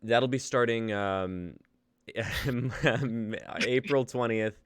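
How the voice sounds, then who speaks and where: A man's voice: mid-range voice, drawn-out words, clean tone, no room sound, clean studio sound. A young man hosting a live reading stream, wrapping up in casual chat, laughing and trailing off with fillers. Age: young adult.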